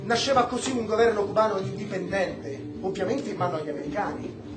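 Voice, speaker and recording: Miguel, an impassioned, high-voiced man. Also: lively intonation, a little fast, heavy background noise. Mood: sardonic lecturing. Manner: emphatic, ironic, lecturing. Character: Miguel, impassioned Cuban man, sardonic and politically outspoken. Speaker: radio drama actor. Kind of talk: Italian theatrical radio drama dialogue. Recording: roomy stage-like echo.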